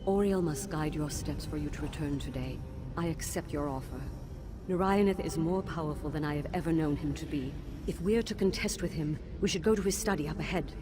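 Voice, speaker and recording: A woman's voice: deep voice, brisk, noisy background, natural voice. Solemn, resolute ghostly headmistress, acted by a woman. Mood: grave, urgent. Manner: solemn, earnest. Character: grieving ghostly headmistress, resolute betrayed wife of a lich. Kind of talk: dramatic fantasy game voice acting.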